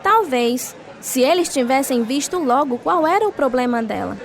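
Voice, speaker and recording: A woman's voice: sits high, lively intonation, normal speed, gravelly. An actress playing a devout, grieving mother and steadfast wife in a radio drama, earnest and sorrowful. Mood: firm, consoling. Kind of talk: radio drama acting and first-person narration.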